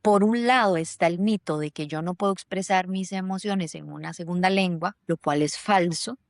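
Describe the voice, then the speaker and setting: A woman's voice: fairly low pitch, normal speed, dry recording, studio quality. A woman hosting a podcast, talking in a reflective way with many fillers and restarts. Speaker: podcast host. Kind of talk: conversational podcast talk. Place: lives in Germany.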